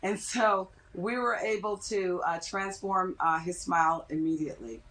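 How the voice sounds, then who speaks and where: A middle-aged woman speaking as a dentist in an interview, explaining things in a measured way. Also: on the low side, fairly bright tone, poor audio. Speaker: dentist interviewed as expert guest.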